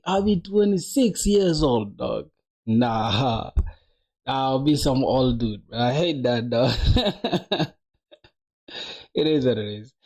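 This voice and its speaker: A man in his twenties with a soft-edged, mellow voice and an average pitch. He talks through code informally, like a streamer answering chat, using plenty of slang and speaking to viewers like close buddies. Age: 20s. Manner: slangy, addresses viewers as bro and dog. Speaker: coding livestreamer. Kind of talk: casual live-stream chat while coding.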